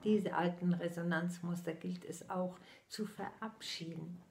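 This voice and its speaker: A woman in her sixties speaks as a medium giving a channeled message, her low, gravelly voice moving at a very unhurried pace. She keeps her eyes closed and lets many phrases fall into a soft whisper. It sounds close and intimate, as if spoken into a laptop in a small room at home. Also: fairly even intonation, slight background noise. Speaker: spiritual medium.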